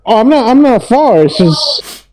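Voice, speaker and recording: A man's voice: very high-pitched, very animated, a little slow. A man calling in from a train, laid-back and laughing easily. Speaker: podcast co-host. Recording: noisy train with crowd around.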